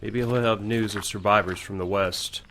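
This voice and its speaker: A man's voice: lowish voice, steady tone, normal speed, a bit bright. A man playing a courteous, weary military major in an audio drama, polite and formal in his speech. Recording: faint background noise.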